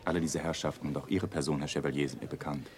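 An actor playing a correct, commanding military lieutenant in a German radio drama, with clipped, formal delivery. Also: male voice, extremely low pitch, steady tone, very fast, darkish tone, a little hiss or hum. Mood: stiff courtesy.

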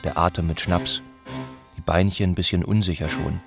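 A man narrating a literary radio play about village life in a sombre, measured, deliberate way. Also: deep voice, fairly even intonation, vocal fry, music in the background.

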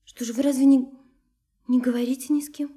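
Radio drama, actress as naive young woman: very high, mellow voice, lively and curious, playfully pressing with questions. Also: a little fast, lightly acted. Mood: insistent, curious. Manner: girlish, eager, teasing, pressing with questions. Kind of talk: Russian radio play acting.

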